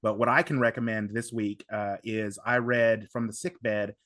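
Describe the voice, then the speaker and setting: A man talking casually as a podcast guest, giving recommendations in an effusive, halting way with emphatic superlatives. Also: lowish voice, fairly even intonation, a bit bright, no room sound, studio quality.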